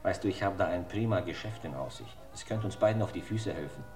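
An actor playing a grumpy, grasping older uncle in a radio crime drama, grumbling and needling. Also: male voice, low-pitched, lively intonation, quick pace, darkish tone. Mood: wheedling. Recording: roomy radio drama recording, faint music.

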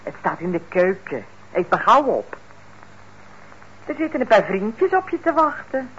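A woman's voice: medium pitch, big pitch swings, unhurried, a bit bright. An actress playing a homely, coaxing middle-aged mother in a radio drama, casual and a little evasive. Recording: muffled old radio recording, faint noise.

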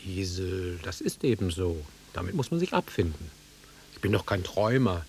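A man's voice: deep voice, big pitch swings, moderate pace, gravelly, slight background noise, full character voice. An actor playing an awkward, sentimental middle-aged man in a German radio drama, self-deprecating and swinging between murmurs and outbursts. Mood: agitated, insistent.

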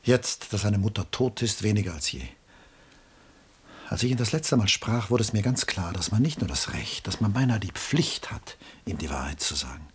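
Very husky, brooding aging artist. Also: male voice, lowish voice, expressive. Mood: earnest, brooding. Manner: melancholy, brooding, with pauses and hushed drops. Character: Julian, embittered aging artist, lonely, self-pitying father. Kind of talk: mid-century German radio play acting.

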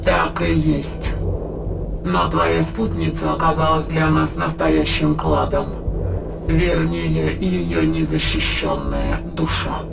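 A man playing a cold alien intruder that inhabits a dead body, speaking slowly and formally with a gravelly, creaky voice in an audio drama. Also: fairly high pitch, animated, loud music behind the voice, heavily acted. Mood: cold, menacing. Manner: slow, formal, measured speech.

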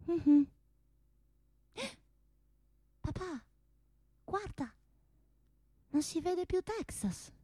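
A woman playing a timid, dreamy young daughter, childlike, soft and hesitant, in a theatrical radio comedy. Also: extremely high pitch, lively intonation, very slow, pauses often, dry recording, clean studio sound, exaggerated voice. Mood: hushed.

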